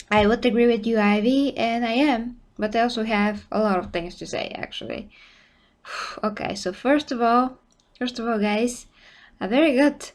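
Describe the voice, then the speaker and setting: A woman's voice: on the high side, a little slow, pauses often. A woman streamer with an Eastern European accent, talking casually and rambling through a live reaction, often laughing and correcting herself.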